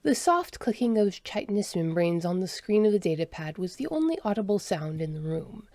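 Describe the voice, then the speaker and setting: A woman's voice: medium pitch, very animated, brisk, dark tone, no room sound, clean studio sound. A woman reading a scripted story as an audiobook narrator.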